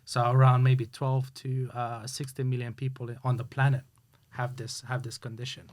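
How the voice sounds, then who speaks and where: A man talks through his story as an interview guest. His voice sits at an ordinary middle pitch. He speaks earnestly and halts often, with plenty of fillers and restarts. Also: flat delivery, moderate pace, darkish tone. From Hungary. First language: Hungarian. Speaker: guest, youth sports coach. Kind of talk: casual interview chat.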